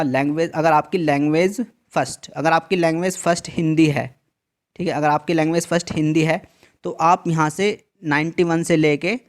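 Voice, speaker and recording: Male tutor, higher-than-usual voice, patient repeating explainer doing a screen walkthrough. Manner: repetitive, emphatic instructions with frequent "theek hai" check-ins. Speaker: exam tutor.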